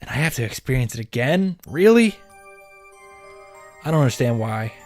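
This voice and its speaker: A man's voice: mid-range voice, sing-song, moderate pace. Guy acting out a desperate teen hero from a visual novel, dramatic, music behind. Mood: grim, then indignant, desperate. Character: desperate teenage boy fighter narrating his near death. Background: background music.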